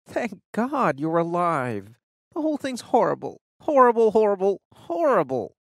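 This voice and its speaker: A woman's voice: mid-range voice, very animated, unhurried, no echo, clean studio sound, voice pushed up. A woman voicing a rattled teenage girl who talks in a rush and keeps breaking into tears. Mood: tearful. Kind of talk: audiobook character voice.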